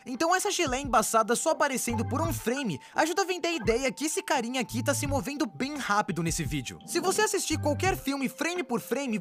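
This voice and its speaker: A high, very animated young cartoon narrator , voiced by a woman, chats through an explainer. The delivery is cheeky, exclamatory and casual, aimed right at the viewer, with light music underneath. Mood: curious, explaining. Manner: chatty, exclamatory, addresses the viewer directly.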